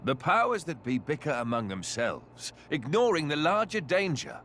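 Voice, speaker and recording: A man's voice: fairly high pitch, sing-song, moderate pace, fairly bright tone, slight background noise. An actor playing an earnest, dutiful young nobleman warrior in a video game cutscene, speaking frankly. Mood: concerned.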